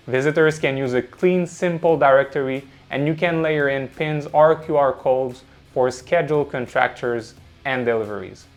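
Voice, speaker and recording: A man with a slightly high-pitched voice reads scripted narration for a corporate explainer video. His delivery is measured and instructional, laying points out in short, listed phrases. Music plays behind him. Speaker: presenter of a product explainer. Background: background music.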